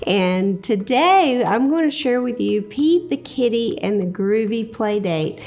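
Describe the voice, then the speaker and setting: Woman reading a picture book aloud to kids, high, lively, slow. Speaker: children's story reader.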